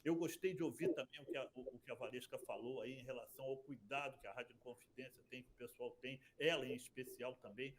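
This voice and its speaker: A man who presents a radio show talks about it in a relaxed way, sometimes restarting his sentences. His voice is mid-pitched, with faint noise in the background. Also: fast, hardly any pauses. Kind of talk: conversational interview answers.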